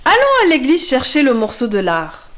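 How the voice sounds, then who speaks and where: Storybook mouse: tiny, very high, swooping voice, excitable and theatrical, unhurried delivery. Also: female voice, heavily acted. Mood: cheerful, curious. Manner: exclamatory, theatrical. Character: trusting female storybook mouse, excitable and easily upset.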